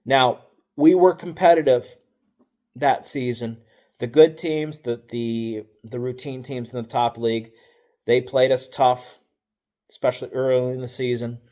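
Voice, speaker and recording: A middle-aged man, a football coach, telling stories from past seasons in a relaxed, wry, matter-of-fact way, with fillers and self-corrections. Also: medium pitch, a little slow. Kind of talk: casual storytelling.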